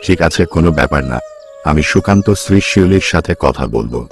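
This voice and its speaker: A man's voice: a bass voice, expressive, brisk, heavy background noise. A man playing a scheming, resentful village headman, a menacing villain in a cartoon folk tale. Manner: plotting, menacing. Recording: music behind the voice.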